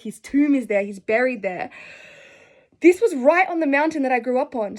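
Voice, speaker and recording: A young Australian woman telling personal stories to camera in an earnest, effusive, rambling way. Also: a little high. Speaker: spiritual vlogger. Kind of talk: casual personal storytelling to camera.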